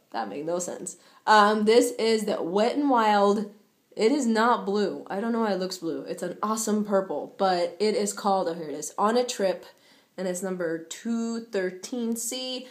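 Female beauty vlogger, 20s, voice a little on the low side, informal, chatty, emphatic delivery. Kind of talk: casual chatty product review to camera. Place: living room at home, indoors. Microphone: camera or webcam at arm's length.

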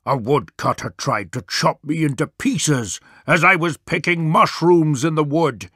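A man's voice: a little high, a little slow, fairly bright tone, slightly rough, no room sound, clean studio sound. A man voicing a weary, stern father wolf in a children's story, grumbling and scolding with emphasis.

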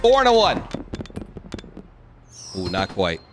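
A man's voice: lowish voice, huge pitch swings, takes it slowly. Teasing streamer man over game music. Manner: teasing, playful trash talk. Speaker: streamer hosting online game. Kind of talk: casual banter while playing. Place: indoor room, on webcam.

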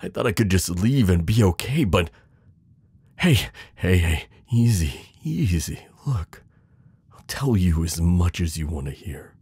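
A man performs a devoted knight in an intimate fantasy audio drama. The voice is low and husky, close and dry, with unhurried pacing. He is protective and tender, pleading urgently with the one he loves. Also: expressive, no room sound, heavily acted. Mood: tender, worried. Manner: urgent, pleading, hushed reassurance. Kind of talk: intimate ASMR romance roleplay acting.

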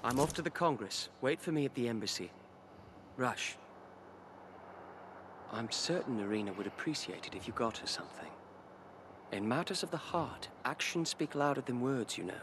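A man's voice: mid-range voice, animated, noisy background, natural voice. Man playing composed, courteous young nobleman. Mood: formal. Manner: formal, measured, polite. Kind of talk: video game cutscene acting.

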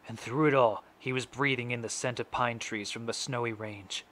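A grieving, resolute father played by a young man delivers a solemn stage monologue in measured phrases. The voice is medium in pitch, with noticeable noise from an amateur home recording. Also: steady tone, a bit bright, close to an everyday voice. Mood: tender, mournful. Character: grieving, resolute middle-aged father addressing his son's killer. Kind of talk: dramatic stage monologue recitation.

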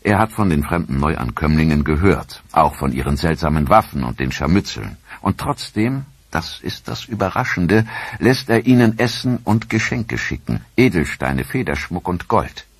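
A man narrating a documentary in a dramatic, expressive scripted manner with rhetorical questions. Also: low voice, normal speed, slightly rough, no echo.

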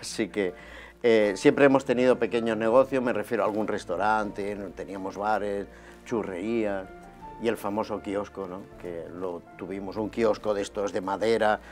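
A man in his fifties from Barcelona, a guest telling his life story in a relaxed, conversational way, recorded on a lapel mic in a small TV studio. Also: on the low side, moderate pace, soft background music. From Barcelona, Spain. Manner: relaxed and conversational, gesturing as he talks.

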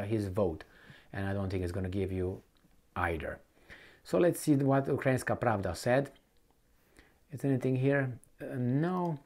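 A man's voice: medium pitch, darkish tone. A middle-aged man giving off-the-cuff news commentary in an opinionated, sardonic, conversational way. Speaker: political commentator and host. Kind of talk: off-the-cuff news commentary, reading articles aloud. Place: indoor home office or study with bookshelves.

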